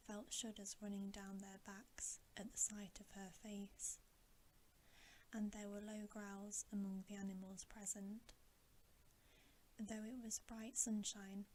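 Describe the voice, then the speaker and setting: A woman whispering a bedtime story in a hushed, breathy, gentle and unhurried ASMR style. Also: medium pitch, slight background noise, poor audio. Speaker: ASMR reader.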